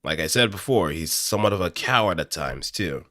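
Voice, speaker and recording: A man with a low voice that still has a bright, forward edge. He talks in an off-the-cuff, explanatory way, musing aloud as he works through ideas. The recording is close and very dry. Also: expressive, a little fast, no room sound. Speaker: amateur voice actor. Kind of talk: casual improvised explanatory commentary. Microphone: close studio microphone through an audio interface.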